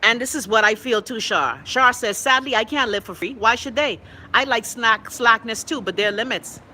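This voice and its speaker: A woman's voice: fairly high pitch, normal speed. A middle-aged Bahamian woman with a Bahamian accent, hosting an online show, reading viewer comments and answering them bluntly with swearing and coughing fits. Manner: animated and blunt, swears freely, reads comments aloud. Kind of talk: casual live-stream commentary.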